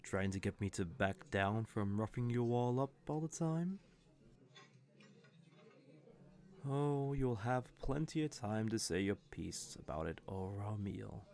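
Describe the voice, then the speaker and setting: A man's voice: fairly low pitch, lively intonation. A man playing a cocky, suave fantasy villain who teases and flirts smugly in an audio roleplay. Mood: smug, teasing. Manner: smug, playful, unhurried teasing with soft chuckles. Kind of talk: scripted audio roleplay addressed to the listener.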